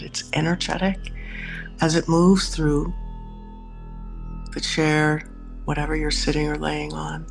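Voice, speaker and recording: A man leading a guided meditation in a soothing, instructive manner with long pauses. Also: on the high side, creaky. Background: music.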